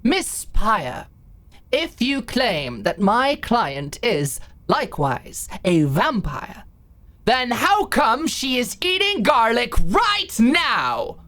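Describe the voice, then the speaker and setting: A woman's voice: fairly high pitch, very animated, slow pace, a bit bright, heavily acted. A woman playing a formal, self-assured prosecuting lawyer who speaks rhetorically in a courtroom roleplay drama. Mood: accusing. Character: smooth-tongued, theatrical female prosecuting lawyer.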